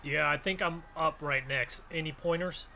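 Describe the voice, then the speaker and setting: A man in an improvised gaming roleplay, talking in an excitable, slangy way with plenty of exclamations. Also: a little high, moderate pace, vocal fry, a little hiss or hum. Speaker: gaming video creator role-playing a driver.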